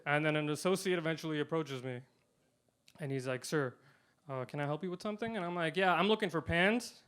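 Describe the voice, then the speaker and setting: A man doing a stand-up comedy set, delivering punchlines deadpan and swinging between loud and quiet. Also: on the high side, a little fast, clean tone. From India.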